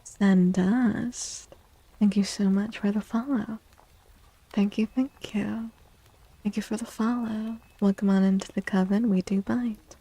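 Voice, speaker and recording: Hushed, breathy ASMR woman with creaky fry. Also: mid-range voice, steady tone, low-quality recording. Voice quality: soft, breathy, often slipping into whispers. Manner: slow, hushed, repeats phrases soothingly. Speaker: livestream host, tarot reader. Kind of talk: soft-spoken ASMR livestream chat. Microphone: close microphone in a dry space.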